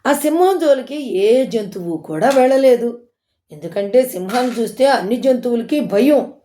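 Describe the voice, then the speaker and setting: A woman's voice: on the high side, animated, normal speed, dark tone, slight background noise. A woman telling a moral story informally, in an explanatory, conversational way that repeats points for listeners.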